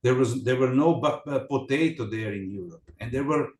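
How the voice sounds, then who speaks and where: A man from Serbia, speaking English in a casual class conversation and pausing often to find his words. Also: mid-range voice, a little mellow, echoey room. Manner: halting, searches for words. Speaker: student in an online English class.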